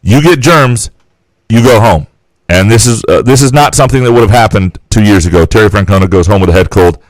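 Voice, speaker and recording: Brash male co-host with a slightly low voice, opinionated rants, dry studio sound. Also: brisk, no room sound, low-quality recording. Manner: blunt, opinionated, profane rants. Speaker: sports talk radio co-host. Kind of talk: casual sports talk.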